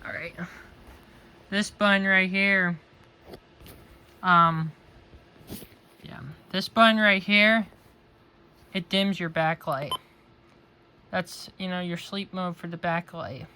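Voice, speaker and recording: A man's voice: high-pitched, steady tone, slow pace, long pauses, bright, forceful tone. A man giving a casual, unscripted product review and demonstration. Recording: faint background noise.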